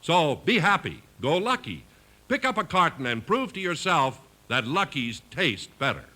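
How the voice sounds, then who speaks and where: A man's voice: fairly high pitch, very animated, moderate pace, pushed and bright. A man announcing and reading ads in an emphatic, polished 1950s radio style. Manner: emphatic, polished sales delivery. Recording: old 1950s radio recording, faint music.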